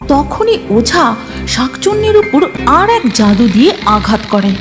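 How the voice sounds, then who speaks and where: A woman narrates a cartoon tale with dramatic delivery. Her voice is high and very lively, with clear music underneath. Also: a little slow. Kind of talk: dramatic scripted cartoon storytelling.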